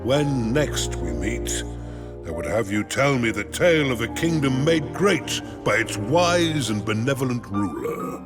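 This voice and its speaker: A man's voice: mid-range voice, sing-song, a little slow. An actor playing a noble, fatherly king in a fantasy game, with regal, formal and grave delivery. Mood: grave farewell. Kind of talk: theatrical fantasy game acting. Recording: loud music under the voice.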